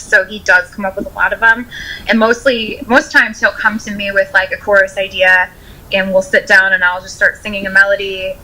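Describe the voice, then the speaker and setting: Bright-voiced young American woman, chatty. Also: mid-range voice, low-quality recording. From Rochester, United States. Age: 20s. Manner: chatty, casual, peppered with "like". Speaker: musician, interview guest. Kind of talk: casual interview chat.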